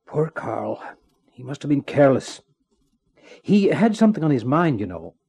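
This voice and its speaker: A man's voice: mid-range voice, sing-song, moderate pace, mellow, slightly rough. An actor playing an earnest, mournful man in a 1950s radio drama. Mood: solemn. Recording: old 1950s radio recording.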